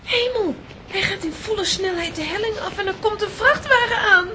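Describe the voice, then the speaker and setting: A woman playing an excitable, bossy, easily alarmed cartoon character in a children's audio drama. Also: extremely high pitch, moderate pace, a little hiss or hum.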